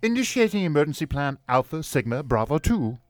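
A man's voice: on the high side, big pitch swings, a little fast, dry recording, faint music behind. A man playing a flippant, lecherous starship first officer in a comedic radio play, with a breezy, hammy delivery. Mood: carefree. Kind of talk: comedic radio theatre parody acting.

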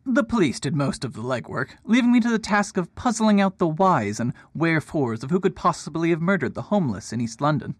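A man's voice: a little high, sing-song, a little fast, few pauses, no echo, clean studio sound. A man playing a proud, pompous detective and academic in a radio drama, with precise, wordy delivery. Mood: self-assured.

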